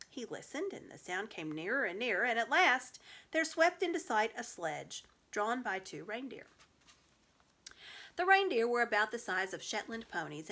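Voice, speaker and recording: A woman reading a children's story aloud in an expressive narrating style. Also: medium pitch. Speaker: storybook reader.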